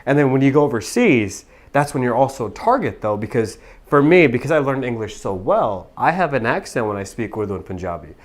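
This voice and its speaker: A man's voice: medium pitch, very animated, a little fast. A man in his thirties from Pakistan, telling his own story as a podcast guest, earnest and reflective. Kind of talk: personal story in a podcast interview.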